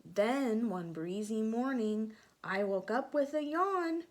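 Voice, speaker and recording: A woman's voice: on the high side, lively intonation, slow, darkish tone. A woman teacher reading a picture book aloud to young children, stopping to explain things and ask them questions.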